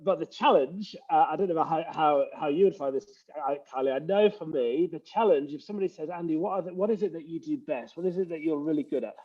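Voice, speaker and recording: A man's voice: fairly high pitch, fast, hardly any pauses, mellow. English man, leadership coach, earnest, explanatory, video call, muffled sound. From England. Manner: earnest, explanatory, frequent uh fillers. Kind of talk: conversational interview.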